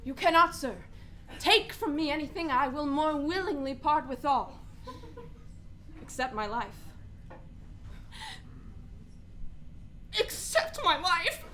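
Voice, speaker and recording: A restless, melancholy young prince feigning madness in a Shakespearean radio play. The delivery is high and bright, slow and deliberate, with an exaggerated theatrical edge. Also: female voice, lively intonation, slight background noise, exaggerated voice. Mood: defiant, manic. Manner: exaggerated, punctuated with giggling.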